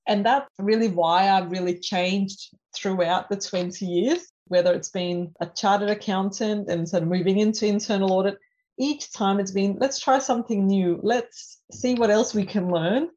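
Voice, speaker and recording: A woman in her forties from Denmark, a native Danish speaker, calmly walking through her career in numbered steps as a podcast guest. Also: medium pitch, normal speed. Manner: methodical, lays points out in numbered steps. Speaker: podcast guest, cybersecurity professional. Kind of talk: conversational interview. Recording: slightly dull lo-fi audio.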